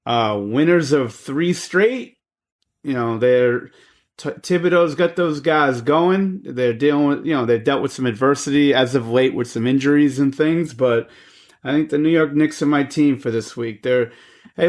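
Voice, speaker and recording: A man in his forties co-hosting a podcast, talking sport in an easygoing way, full of fillers. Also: medium pitch. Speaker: podcast co-host. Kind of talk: casual sports chat.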